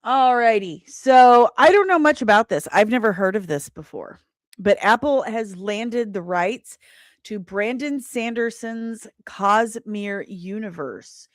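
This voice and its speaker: Slow-paced, higher-voiced woman hosting a livestream. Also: animated, no room sound. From Britain. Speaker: livestream host and comic book writer. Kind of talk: casual livestream chat with viewers.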